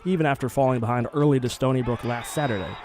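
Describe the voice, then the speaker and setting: A man's voice: medium pitch, fast talker, a little mellow, a little hiss or hum. A man narrating a scripted sports news package as a television reporter.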